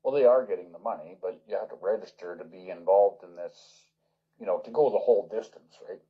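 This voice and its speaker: A man chatting casually over CB radio, explaining things in a halting way. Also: low voice, slightly rough. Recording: dull lo-fi CB radio, phone-recorded.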